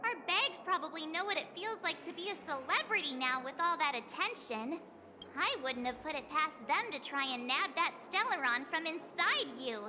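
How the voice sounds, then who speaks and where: A woman playing a perky, chatty, excitable teenage girl traveler in a video game. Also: high voice, normal speed, noisy background. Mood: cheerful, chatty. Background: game music.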